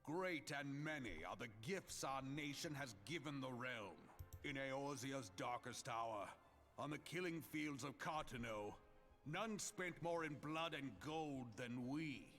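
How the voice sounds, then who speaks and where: An actor playing a fiery, commanding military leader, proclaiming solemnly in grand fantasy game oratory. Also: male voice, medium pitch, fairly bright tone, faint music behind, natural voice. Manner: solemn, declamatory, measured proclamation. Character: fiery, commanding male military leader rallying his nation.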